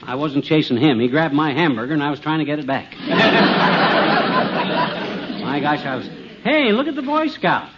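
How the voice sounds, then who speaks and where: Radio comedy husband: boastful, folksy, animated, tall-tale teller on a muffled vintage broadcast before an audience. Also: male voice, on the high side, normal speed, long pauses, over a noisy crowd, heavy background noise. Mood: excited. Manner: folksy, ungrammatical braggart talk. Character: boastful, excitable small-town husband spinning tall tales. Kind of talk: 1950s radio comedy acting. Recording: dull old radio recording, studio audience.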